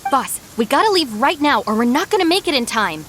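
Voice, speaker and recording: A woman's voice: sits high, sing-song, fairly quick, fairly bright tone, a little hiss or hum. A woman playing a sensible, wry young second-in-command of a gang in a video game, matter-of-fact and polite. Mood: impatient. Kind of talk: animated video game voice acting.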